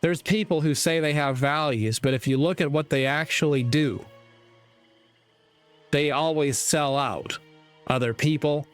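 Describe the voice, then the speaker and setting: Male commentator, crisp mid-range voice, halting and deliberate sermonizing opinion delivery over soft background music. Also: fairly high pitch. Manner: deliberate, halting phrasing with rhetorical asides. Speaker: commentator delivering a moral monologue. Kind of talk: opinion monologue, preachy commentary.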